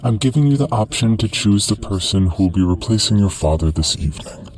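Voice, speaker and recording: A man playing a sly, low-voiced deal-making monster. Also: lively intonation, a little mellow, slight background noise, lightly acted. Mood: calmly menacing. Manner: smooth-talking, coaxing, deliberate salesman-like delivery. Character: sly, polite monster offering deadly bargains. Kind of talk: horror audio drama acting. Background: music.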